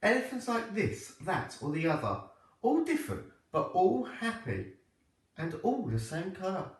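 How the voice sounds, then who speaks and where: A man reading a children's storybook aloud like a primary teacher, with expressive, deliberate phrasing. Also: high voice. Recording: echoey room, distant microphone.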